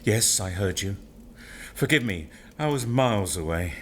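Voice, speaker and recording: An actor playing a brusque, sarcastic master of a manor house in an audio play. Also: male voice, lowish voice, lively intonation, unhurried, slight background noise. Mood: curt, distracted. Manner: brusque, sarcastic, clipped politeness. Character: curt, pedantic master of a spooky manor.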